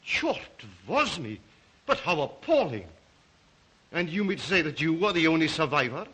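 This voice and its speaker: A suave Cossack count in a vintage drama. His Russian-accented voice is highish and bright, swooping theatrically through courtly, ingratiating phrases with a sinister polish. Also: sing-song, moderate pace, slightly rough, full character voice. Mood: mock sympathy.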